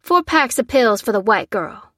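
A woman's voice: high-pitched, unhurried, fairly bright tone, dry recording, studio quality, pitched above the performer's own voice. A woman voicing a stern, hostile, mercenary female drugstore boss in an audiobook, curt and threatening. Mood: snapping, hostile.

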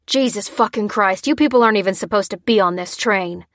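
A woman voicing an aggressive, desperate man of around forty, forceful and urgent. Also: mid-range voice, little pitch movement, fairly quick, no room sound, studio quality, natural voice. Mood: angry, urgent.

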